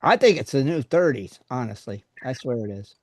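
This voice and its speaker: A man's voice: medium pitch, expressive, moderate pace, a little mellow, no room sound. A man in his sixties hosting a live online radio chat, joking and laughing often. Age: 60s. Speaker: radio show host.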